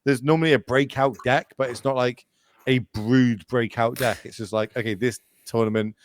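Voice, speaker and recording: A man's voice: mid-range voice, steady tone, normal speed, a little hiss or hum. A man in his late thirties, a podcast guest chatting casually and going off on tangents, with lots of fillers and restarts. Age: 30s. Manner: rambling, goes off on tangents, many fillers and restarts. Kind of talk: casual chat.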